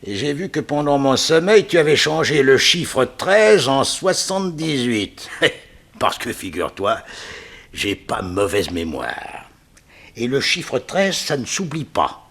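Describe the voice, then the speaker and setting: Casting: murderous radio-serial thief. Mock-polite, greedy, sharp-edged voice with husky grain, swinging widely. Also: male voice, medium pitch, very animated, slow pace, exaggerated voice. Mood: gloating menace. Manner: theatrical, mock-polite, chuckling menace. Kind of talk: 1960s French radio crime serial acting.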